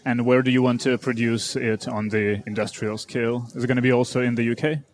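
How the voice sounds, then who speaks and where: A man interviewing a founder, asking questions in a hesitant way with frequent pauses and restarts. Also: mid-range voice, flat delivery. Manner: hesitant, many fillers and restarts.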